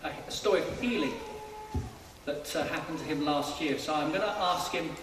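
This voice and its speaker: A man's voice: on the high side, moderate pace, a bit bright. A man interviewing someone informally in front of people in a church, speaking in a slightly hesitant way. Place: large church hall, indoors. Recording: echoey church hall, distant mic, faint noise.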